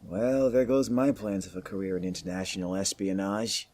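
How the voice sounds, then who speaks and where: A man's voice: low voice, normal speed, a little mellow. A man playing a joking, sarcastic young male survivor with a mock-dramatic manner in a fan audio drama. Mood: sarcastic teasing.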